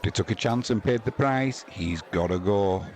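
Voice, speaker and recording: A man's voice: fairly low pitch, normal speed. A man calling cricket action in short stock commentary phrases. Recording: loud crowd roar, heavy background noise. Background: loud stadium crowd.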